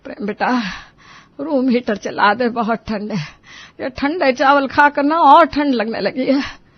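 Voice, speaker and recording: A woman's voice: sits high, measured pace, breathy edge, highs cut off, voice pushed up. A woman voicing a cranky, complaining older mother-in-law in a moral-story cartoon, scolding and grumbling with exclamations. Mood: feeling cold.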